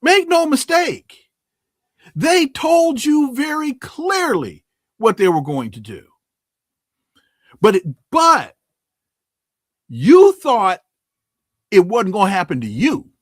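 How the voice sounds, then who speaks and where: A man's voice: very high-pitched, wildly swooping pitch, slow, pauses often, no room sound, studio quality. A man guesting as a political scientist on talk radio, giving impassioned commentary with frequent restarts.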